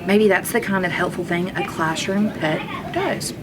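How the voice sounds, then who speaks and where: A woman reading a children's storybook aloud like a teacher, in an expressive manner. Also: lowish voice, moderate pace, heavy background noise. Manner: expressive, acts out characters' lines.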